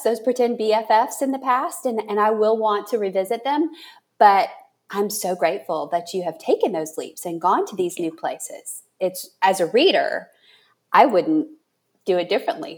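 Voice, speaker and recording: Woman, podcast interviewer, medium pitch, thoughtful, halting delivery, effusive, conversational. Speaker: podcast host.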